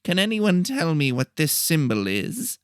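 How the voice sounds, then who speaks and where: A man's voice: a little high, huge pitch swings, unhurried, clean tone, no room sound, clean studio sound, pitched above the performer's own voice, full character voice. A man voicing a small, wiry, pedantic professor with a nasal voice, lecturing in an audiobook. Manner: lecturing, questioning. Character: small wiry male professor, long-winded, pedantic, dry. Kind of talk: audiobook character voice.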